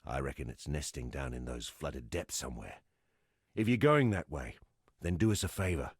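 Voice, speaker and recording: Weary fantasy game survivor, very low gravelly voice, salty, wry, grimly practical, swinging intonation. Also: male voice, lively intonation, normal speed, no room sound, clean studio sound, exaggerated voice. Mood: practical, cautionary. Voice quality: gravelly, husky. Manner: rough, plain-spoken, salty, wry. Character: gruff, weary male exile fighter, an ex-smuggler camp leader, grimly practical. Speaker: non-player quest character. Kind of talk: fantasy video game dialogue.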